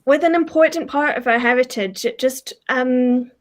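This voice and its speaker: A woman with a somewhat high voice co-hosts a folklore podcast. She chats casually and reflectively, pausing on ums. She sounds enthusiastic as she talks about old stories. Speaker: folklore podcast co-host, guest. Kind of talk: casual chat.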